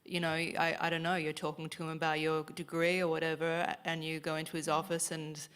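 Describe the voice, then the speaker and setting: A woman, speaking earnestly on a live panel with halting restarts. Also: low voice, completely flat delivery, fairly bright tone, clean tone.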